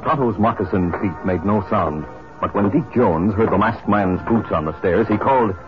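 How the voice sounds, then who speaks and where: A man's voice: lowish voice, normal speed. A man with an American accent, narrating dramatically as a 1950s radio announcer. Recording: muffled 1950s radio recording. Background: music.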